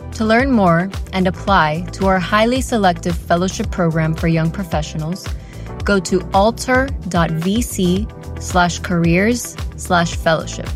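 A woman's voice: on the low side, measured pace, faint music behind. A woman hosting a podcast, reading an upbeat introduction and then asking her guests questions. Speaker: podcast host.

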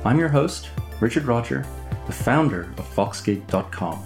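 A man hosting a podcast, reading a scripted introduction and then chatting casually with a guest, measured and hesitant with fillers. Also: medium pitch, animated. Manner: measured, with fillers and restarts when unscripted. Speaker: podcast host. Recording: roomy sound with faint music behind.

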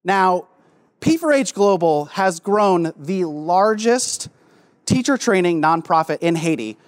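Summit host, man, slightly high voice, upbeat scripted introduction. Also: lively intonation, slow pace. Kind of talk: formal introduction.

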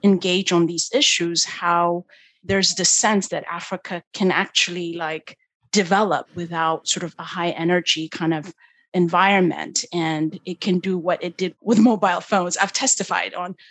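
A woman with a lowish voice, from Ethiopia, speaks as a guest on a podcast interview. She talks in an animated way and thinks aloud, leaning on fillers as she goes. The recording is very dry and close, with no room sound. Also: moderate pace, no echo. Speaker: guest, energy policy expert.

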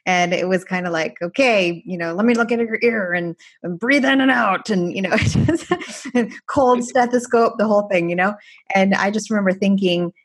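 A woman whose first language is Farsi, raised in France and Canada, co-hosting a podcast in a lively way. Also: mid-range voice, normal speed, husky. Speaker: pediatrician podcast co-host. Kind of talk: casual conversational chat.

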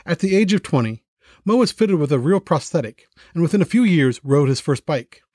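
A man's voice: on the high side, sing-song, a little fast, dark tone, no room sound, clean studio sound. American man hosting a podcast. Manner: hesitant, many fillers and restarts in questions. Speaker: podcast host. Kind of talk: scripted intro, then casual interview.

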